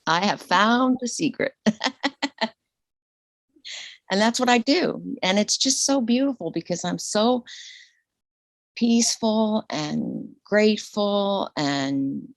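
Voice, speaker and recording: Animated woman in her sixties. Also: takes it slowly, long pauses, no echo. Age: 60s. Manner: animated, laughing, repeats herself, voice leaps high when excited. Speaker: guest, retired nurse. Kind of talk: casual interview conversation.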